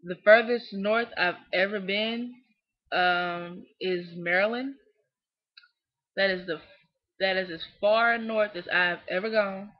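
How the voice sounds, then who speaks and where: A woman's voice: mid-range voice, steady tone, takes it slowly. Emphatic young Southern woman on a lo-fi webcam. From Southern US, Kentucky. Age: young adult. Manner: emphatic, scolding, repeats "you know what I'm saying". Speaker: vlogger. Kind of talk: opinionated webcam rant. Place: small dim room indoors. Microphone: webcam close up. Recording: dull lo-fi webcam audio.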